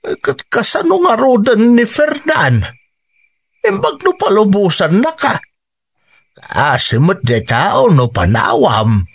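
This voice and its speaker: A man's voice: high voice, very animated, takes it slowly, creaky, breathy edge, heavily acted. A man playing a protective, inquisitive older father in a Philippine radio drama, speaking in an emphatic, questioning way over music. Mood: alarmed, questioning.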